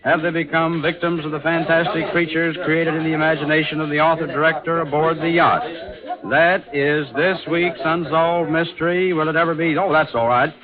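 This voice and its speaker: A man's voice: a little high, little pitch movement, moderate pace, a crowd in the background, lightly acted. A man playing an urgent newsroom editor, with punchy, dramatic 1940s radio news delivery. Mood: brisk, urgent. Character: urgent newsroom editor reading a mystery story aloud. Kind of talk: 1940s radio acting, scripted news report. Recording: muffled old radio, newsroom bustle.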